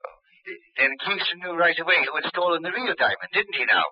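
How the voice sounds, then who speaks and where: A man's voice: fairly high pitch, lively intonation, quick pace, breathy edge. An actor playing an eager, deferential Irish policeman in 1940s radio drama, stammering and wheedling. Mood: deferential, curious. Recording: dull old radio recording.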